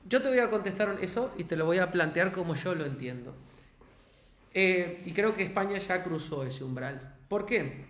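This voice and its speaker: A man's voice: high-pitched, lively intonation, normal speed, fairly bright tone, echoey room. A man from Argentina with an Argentine accent, thinking aloud as host, hesitant and restarting as he reasons. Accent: Argentine Spanish.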